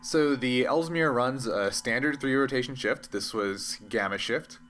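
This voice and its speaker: Male game master with a mid-pitched voice. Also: moderate pace, a bit bright, faint music behind. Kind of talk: narrating a tabletop role-playing game, improvised.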